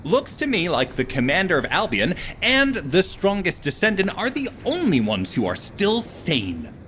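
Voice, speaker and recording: A cocky male game soldier, resentful and full of sarcasm. His highish voice leaps dramatically up and down, with a sharp, forceful edge. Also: wildly swooping pitch, normal speed, a little hiss or hum, full character voice. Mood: smug, scornful. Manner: sarcastic, scornful, theatrical. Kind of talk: scripted game cutscene acting.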